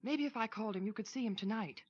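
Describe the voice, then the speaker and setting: An actress playing a gentle, supportive young woman in a 1940s radio drama, speaking in a soft, reassuring way. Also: fairly high pitch, quick pace, breathy edge. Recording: dull lo-fi vintage radio recording.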